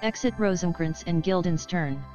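A woman's voice: fairly low pitch, normal speed, darkish tone. A woman narrator reading stage directions for an audio play. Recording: dull lo-fi sound, music behind.

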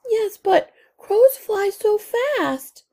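A very high-pitched, fretful little mouse girl from a children's storybook, acted by a woman reader. She is timid and tearful, and her worried exclamations swoop up and drag out slowly. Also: lively intonation, mellow, full character voice. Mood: anxious. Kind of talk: children's storybook read-aloud.

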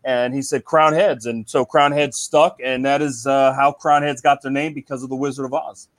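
A man from Cincinnati, Ohio, a cigar company guest in casual podcast chat, telling stories in a relaxed way and often saying "man". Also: medium pitch, moderate pace, no room sound.

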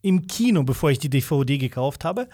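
Ein junger Mann aus Russland mit Russisch als Muttersprache erzählt als Interviewgast locker und weitschweifig, mit vielen Füllwörtern und selbstironisch. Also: male voice, fairly high pitch, animated, a little fast, dark tone, no echo, studio quality. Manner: rambling, self-deprecating, many fillers. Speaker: interview guest. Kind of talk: casual interview chat.

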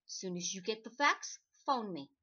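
A woman playing a brisk, pushy magazine editor in an old-time radio drama, talking in bossy slang. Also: mid-range voice, expressive, pushed and bright, husky, highs cut off. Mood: eager. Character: brisk, pushy magazine editor hunting a human-interest story.

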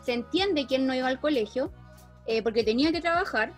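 A woman with a Chilean accent in a casual discussion, explaining things in an animated, slangy, informal way. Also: high voice, a little fast, faint music behind. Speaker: teacher.

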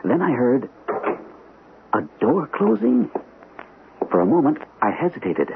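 An actor playing an anxious, hesitant army captain recovering from shell shock in an old-time radio drama. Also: male voice, on the high side, wildly swooping pitch, vocal fry, heavily acted. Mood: troubled narration. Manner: hesitant, halting, nervous. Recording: muffled, narrow-band, noisy old radio recording.

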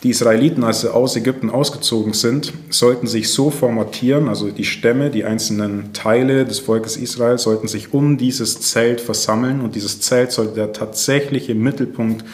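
A man, a pastor and church co-founder, chatting casually on a podcast, relaxed and laughing often, thinking aloud. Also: mid-range voice, moderate pace, few pauses. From Germany. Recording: roomy echo.

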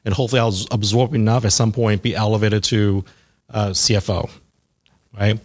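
A man in his forties, talking through his career in a casual interview, halting with fillers and restarts. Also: lowish voice, little pitch movement. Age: 40s.

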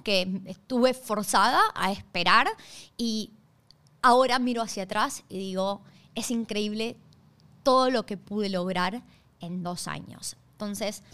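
A woman's voice: mid-range voice, a bit bright. A woman with an Argentine accent hosting a podcast, talking conversationally in an explanatory way and checking in with listeners. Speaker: podcast host.

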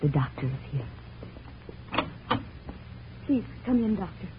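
A woman playing a devoted, anxious wife with a gentle, solicitous manner, in 1940s radio drama style. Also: on the low side, very animated, slow pace. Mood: tender concern. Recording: tinny, noisy old radio recording.

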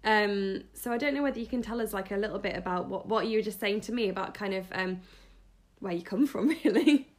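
A woman's voice: medium pitch, a little fast. A woman hosting a podcast interview over a video call, upbeat and chatty. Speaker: podcast host.

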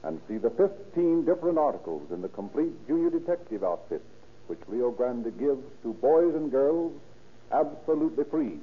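A man with an American accent, a 1930s radio announcer narrating and reading sponsor copy in a measured, declamatory way. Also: mid-range voice, big pitch swings. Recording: muffled 1930s radio, faint noise.

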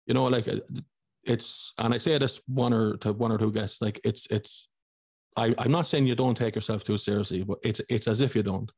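A man's voice: on the low side, steady tone, moderate pace, a little mellow, breathy edge, no room sound, clean studio sound. A man hosting a podcast, chatting informally with rambling, stumbling restarts. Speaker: podcast host. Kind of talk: casual interview chat.

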